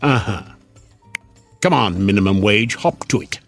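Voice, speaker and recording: An actor playing a sardonic, chatty devil in an audio drama, theatrical and mocking, with a husky voice. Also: male voice, lowish voice, lively intonation, measured pace, soft background music. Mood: sly, dismissive. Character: sardonic, wheedling male devil, casual and mocking.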